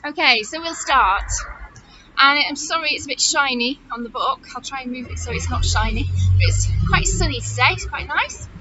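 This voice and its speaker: A woman reading a story aloud to young children like a nursery teacher, in an animated, exaggerated manner, often putting questions straight to them. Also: high voice, normal speed, lo-fi sound, noisy background.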